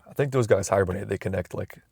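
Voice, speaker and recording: A man's voice: sits low, fast talker, creaky, darkish tone, husky, no echo, clean studio sound. A young man vlogging from inside a car, musing aloud in a loose, rambling way with frequent restarts, recorded on a phone camera. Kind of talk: casual rambling vlog.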